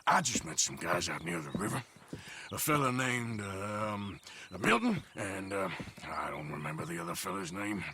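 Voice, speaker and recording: An actor playing a wry, tough Old West outlaw with an American accent and a sardonic, folksy drawl. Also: male voice, sits low, very animated, moderate pace, noisy background. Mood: uneasy. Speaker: actor in a video game cutscene. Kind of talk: cinematic western game acting.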